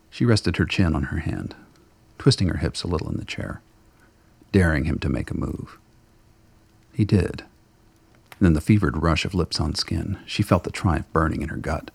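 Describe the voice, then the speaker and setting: A man narrating a radio play in a scripted, dramatic storytelling style with a gravelly, husky voice. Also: rumbling low, dry recording.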